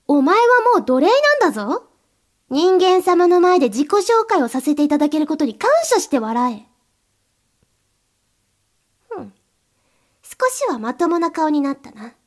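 A woman's voice: sits high, big pitch swings, a little slow, pauses often. A woman playing a cold, domineering mistress in an erotic audio drama, giving curt, contemptuous orders to the listener. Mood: commanding.

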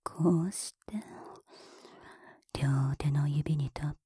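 A woman voicing a cool, mocking, dominant woman in a whispered ASMR roleplay. She speaks very close to the mic, in a soft whisper broken by taunting laughs. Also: deep voice, steady tone, very slow, noisy background. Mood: teasing, mocking. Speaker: voice actress.

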